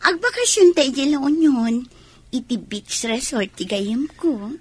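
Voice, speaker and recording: A woman's voice: high-pitched, very animated, unhurried, a little hiss or hum. An actress with an Ilocano accent playing an outgoing, inviting village landlady in a radio drama. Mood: casual, coaxing.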